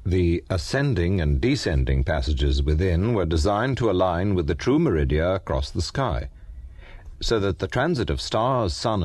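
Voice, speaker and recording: An actor playing a learned physicist, giving precise, lecturing statements for an audio documentary. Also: male voice, deep voice, a little mellow. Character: learned male physicist explaining precise findings. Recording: long hall-like reverb.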